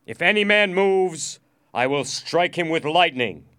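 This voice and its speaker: Man performing storybook condemned man, desperate, bold, exclaiming, raised pitch, dramatic delivery. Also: sing-song, slow, a bit bright, full character voice. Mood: commanding bluff. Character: desperate, quick-thinking man facing execution, bluffing boldly.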